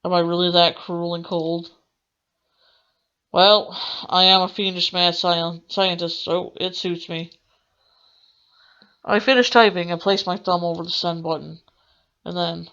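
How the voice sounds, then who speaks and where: A woman's voice: on the low side, level tone, a little slow, pauses often, fairly bright tone, dull audio. A woman hosting a gaming let's play, reading aloud in a dramatic manner with the odd stumble. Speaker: gaming channel host.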